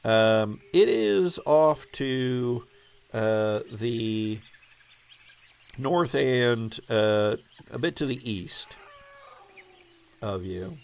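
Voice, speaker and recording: A man acts as game master for a live tabletop game, improvising in a slow, gravelly voice. He halts often as he thinks aloud, at an ordinary male pitch. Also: lively intonation.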